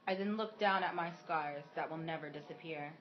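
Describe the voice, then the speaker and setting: A woman's voice: low voice, fairly quick. A woman narrating a radio play in the first person, on a muffled recording with music behind.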